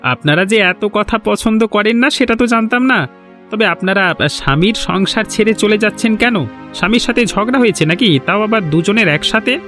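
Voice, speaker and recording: A man voicing a bold visitor to a village in a cartoon folk tale. He has an unusually high voice for a man and talks with cheeky confidence, over faint music. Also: animated, moderate pace, sharp tone. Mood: friendly, coaxing. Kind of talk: Bengali animated folk-tale dialogue.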